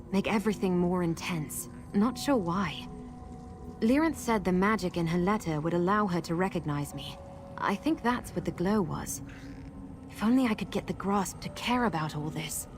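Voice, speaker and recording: An actress playing a guarded, urgent masked woman who protects people from cultists in a fantasy video game, speaking in an earnest, measured way. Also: lowish voice, expressive, over music, close to an everyday voice. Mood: explaining. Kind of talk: video game character dialogue.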